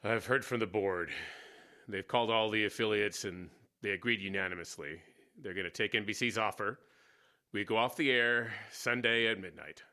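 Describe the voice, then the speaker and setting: A man acting in a radio drama as a gruff, hard-drinking, cynical war veteran who manages a station, blunt and sardonic, with an American accent. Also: lowish voice, normal speed, bright, forceful tone. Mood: grim, resigned. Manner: blunt, sardonic, stumbling over words.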